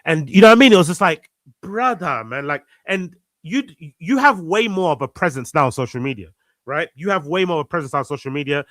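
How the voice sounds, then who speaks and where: A man's voice: on the high side, animated, a little fast, fairly bright tone, dry recording. A man in a casual conversation, earnest and reflective, repeating phrases for emphasis. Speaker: podcast guest.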